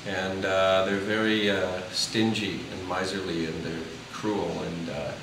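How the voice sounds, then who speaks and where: A man casually explaining a puppet show in a halting, informal way. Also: deep voice, little pitch movement. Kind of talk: casual explanation to camera visitor. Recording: echoey room, distant mic, loud background noise.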